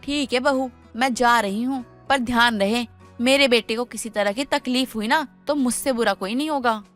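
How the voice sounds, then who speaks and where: A woman's voice: a little high, moderate pace, a bit bright, soft background music, lightly acted. A woman voicing a stern, protective older mother-in-law in a Hindi story cartoon, firm and admonishing. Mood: firm, warning. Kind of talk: Hindi animated story dialogue.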